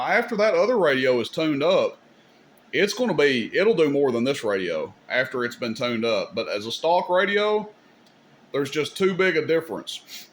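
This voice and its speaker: A man hosting a casual hands-on demonstration, speaking in an informal, folksy and opinionated way. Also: mid-range voice. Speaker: host demonstrating radio gear. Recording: clear background noise, room ambience.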